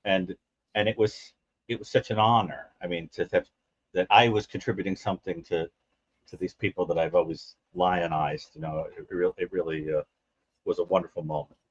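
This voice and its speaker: A man talking as a guest on a live video call, rambling and halting with many restarts. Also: deep voice, steady tone, slightly rough, no room sound. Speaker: forensic psychologist guest. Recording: dry, muffled call audio.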